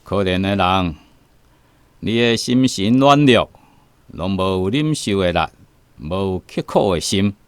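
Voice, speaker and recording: An actor playing a stern, pious Buddhist guide, speaking solemnly in a Taiwanese radio drama. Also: male voice, low-pitched, expressive, smooth, dry recording. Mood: pitying, solemn. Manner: solemn, measured, admonishing.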